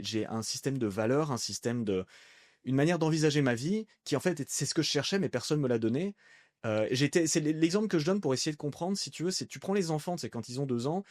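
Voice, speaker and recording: A man's voice: mid-range voice, a little mellow, no echo, studio quality. A man in his thirties from France, a guest on a podcast, talking casually and rambling with lots of fillers and restarts. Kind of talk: casual conversational interview.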